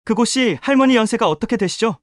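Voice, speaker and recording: A woman's voice: a little high, fast, dry recording, natural voice. A woman voicing a polite police detective in an audio drama, formal and questioning, recorded dry. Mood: businesslike.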